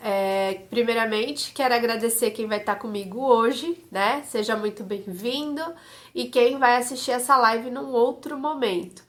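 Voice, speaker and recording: Woman, stuffy congested voice, bright tone, live online teacher, explains and checks in often. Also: fairly high pitch, measured pace. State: congested, voice may crack. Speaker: eyebrow micropigmentation instructor. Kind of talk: live-stream class with chat greetings.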